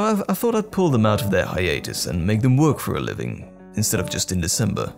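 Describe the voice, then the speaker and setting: Casting: male actor for devoted, comforting boyfriend in audio roleplay; low, gravelly edge, tender and playful. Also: darkish tone, exaggerated voice. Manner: tender, reassuring, playful, uses pet names. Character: loving, attentive boyfriend comforting his troubled partner.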